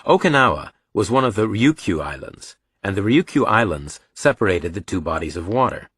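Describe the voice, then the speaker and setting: A man with a fairly low voice narrates a thriller audiobook. The delivery is scripted storytelling, recorded dry and close, with hardly any room in the sound. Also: big pitch swings, normal speed, fairly bright tone, slightly rough, no echo.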